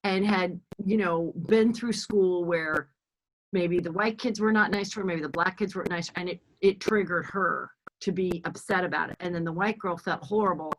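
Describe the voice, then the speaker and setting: A woman's voice: lowish voice, steady tone, normal speed, slight background noise, poor audio. A middle-aged woman hosting a podcast, chatting casually and rambling with many restarts and fillers. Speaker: podcast host. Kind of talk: casual chat.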